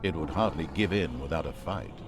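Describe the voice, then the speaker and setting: An actor voicing a sardonic, self-mocking storybook narrator of a fantasy video game, with pompous theatrical delivery. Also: male voice, a bass voice, a little fast, soft background music. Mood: wry, grandiose. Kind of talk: dramatic scripted fantasy narration with tongue in cheek.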